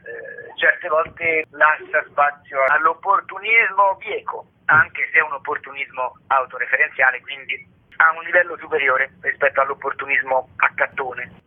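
Middle-aged or older man, combative ironic interviewee, raspy voice over a narrow telephone connection. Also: on the high side, moderate pace. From Italy. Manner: polemical, ironic, emphatic. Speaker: theatre artist being interviewed. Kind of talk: radio interview. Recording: narrow tinny phone line.

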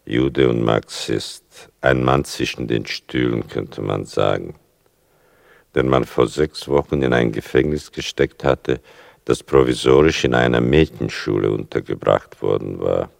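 An older man acting in a radio play as a writer son who narrates his mother's story, wry and deliberate, dwelling on small details, with a gravelly voice. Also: extremely low pitch, dry recording, clean studio sound, full character voice. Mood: sombre, ironic.

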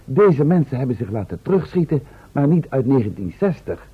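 A muffled old radio play recording of a baffled learned doctor. His husky voice is lively, precise and dryly protesting. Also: mid-range voice, fairly quick. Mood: puzzled. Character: baffled, protesting male doctor, the professor's sceptical colleague.

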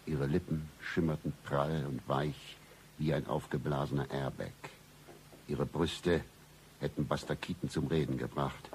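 An actor playing a world-weary, sardonic private detective who narrates a noir parody radio play in a brooding deadpan. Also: male voice, very deep voice, a little hiss or hum. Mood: smitten, sardonic. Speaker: narrator and lead character.

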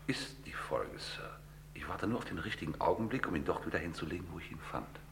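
Very deep, gravelly gentleman schemer. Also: exaggerated voice. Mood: calm, calculating. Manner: ceremoniously polite, measured, deadpan. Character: suave, scheming gentleman dealer, formal and unruffled. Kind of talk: German radio crime drama acting, mid-20th century. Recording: noisy old radio play recording.

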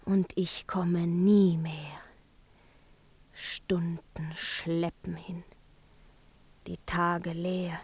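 A woman playing a seductive witch and spurned lover in a theatrical verse radio play, breathy and often whispering. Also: lowish voice, mellow, no echo, exaggerated voice. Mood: mournful, haunting. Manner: slow, incantatory, yearning delivery. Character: seductive witch, the emperor's jilted lover, pleading and taunting.

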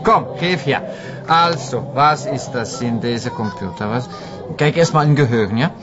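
A man's voice: medium pitch, a little slow, lo-fi sound, music in the background, lightly acted. An actor playing a playful, exuberant German man who mixes German into his Flemish Dutch in a TV crime drama. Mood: confident, showing off. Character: flamboyant, playful, tipsy German man, video-game fan.

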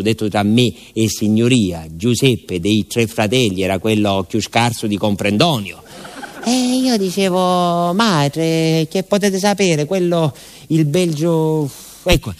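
A man's voice: mid-range voice, lively intonation, a little slow, lightly acted. Folksy southern Italian village postman played by a man. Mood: wry, confiding. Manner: folksy, confiding, with hesitations and repetitions. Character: naive, talkative village postman from southern Italy, wistful storyteller. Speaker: actor in a monologue. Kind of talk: theatrical radio monologue in colloquial storytelling.

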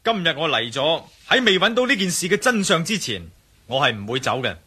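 A commanding male gunman hero in a radio drama, sharp and lively in pitch. He is sardonic and menacing as he demands answers in a Hong Kong accent. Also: fairly quick, slightly rough, exaggerated voice. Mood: cold menace. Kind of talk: Cantonese radio drama acting.

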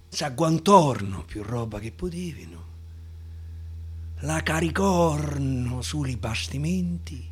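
A man with a Roman accent, an actor reciting a dialect verse story in an expressive, dramatic radio-theatre style. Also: fairly high pitch, takes it slowly, husky. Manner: expressive, dramatic, swinging from declaiming to hushed asides. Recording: guitar music under the voice. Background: acoustic guitar.

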